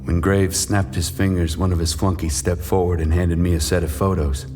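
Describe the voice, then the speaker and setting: A male actor as a world-weary ex-boxer telling his own noir story. The voice is deep, brooding and bitter, in short clipped sentences. Music plays clearly behind him. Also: flat delivery, moderate pace, lightly acted. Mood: brooding, regretful. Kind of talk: first-person noir audio drama narration.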